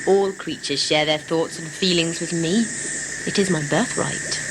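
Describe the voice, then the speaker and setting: A woman's voice: deep voice, fairly even intonation, unhurried, noisy background. A woman playing a devout, solemn warrior in a sci-fi audio drama, speaking in terse, formal declarations. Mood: calm, commanding.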